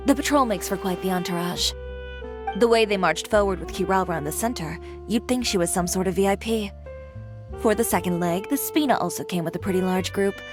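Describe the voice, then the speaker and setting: A woman's voice: medium pitch, close to an everyday voice. A woman voicing a confident, businesslike boutique owner with a composed, matter-of-fact way of talking. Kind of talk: video game voice acting. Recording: game audio with music behind. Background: game music.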